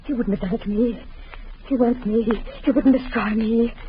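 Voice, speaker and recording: An actress playing a frightened, desperate wife in an old radio drama, pleading in an emotional, breathless way. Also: female voice, a little high, fairly even intonation, normal speed, a little hiss or hum, close to an everyday voice. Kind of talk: 1940s radio acting. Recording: muffled old radio recording.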